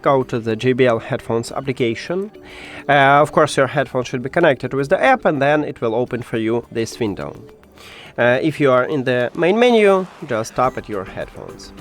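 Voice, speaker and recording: A man hosting a step-by-step tech tutorial in an instructional way, with slightly non-native phrasing. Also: mid-range voice.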